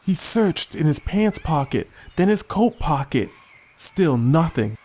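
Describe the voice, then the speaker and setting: A man's voice: fairly high pitch, very animated, slow. A man reading a scripted short story as a dramatic audiobook narrator. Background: music.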